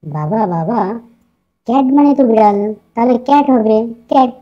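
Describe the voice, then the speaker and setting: A woman's voice: fairly high pitch, very animated, moderate pace, highs cut off. A woman voicing a cheeky, boastful young village boy in a children's puppet story. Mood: eager. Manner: boastful, playful.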